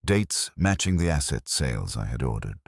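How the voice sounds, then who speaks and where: A man with an extremely low-pitched voice delivers scripted dramatic narration in a measured, deliberate way. His intonation moves widely up and down. The sound is dry and close, with no room around it. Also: very animated, breathy edge, dry recording. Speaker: story narrator. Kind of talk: scripted dramatic first-person audiobook-style narration.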